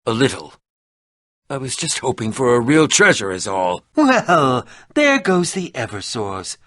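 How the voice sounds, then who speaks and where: A man's voice: mid-range voice, very animated, a little slow, a bit bright, no room sound, clean studio sound. A man voicing a pompous, irritable, middle-aged eccentric adventurer and potion maker in a video game, with a dramatic, sardonic, fussy delivery. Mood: dry, sardonic. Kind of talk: animated video game voice acting.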